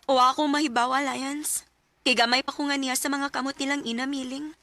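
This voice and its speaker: A woman's voice: high voice, flat delivery, brisk, pushed and bright. A woman acting a tender, sincere young woman who carries hurt, in a radio drama. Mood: shy, sad.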